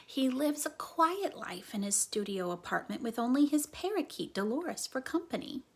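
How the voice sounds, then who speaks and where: An earnest, slightly cynical young comic-book fan voiced by a woman reading a storybook. The girl explains things emphatically and instructively, in a fairly high voice with a breathy, husky edge. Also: big pitch swings, moderate pace, exaggerated voice. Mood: dramatic, emphatic.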